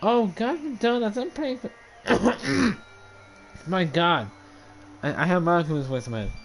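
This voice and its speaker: A man reading a visual novel aloud with chatty asides; his voice is dry and scratchy today, and he gets excitable and trips over his words. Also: sits high, a little slow, creaky. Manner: excitable, talks over himself with restarts. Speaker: let's play reader voicing a visual novel.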